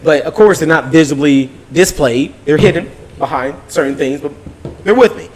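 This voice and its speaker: A man telling a story in an animated, casual way, swearing freely in slangy speech and acting out both sides of arguments. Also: a little high, normal speed, poor audio. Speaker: podcast host recapping his weekend.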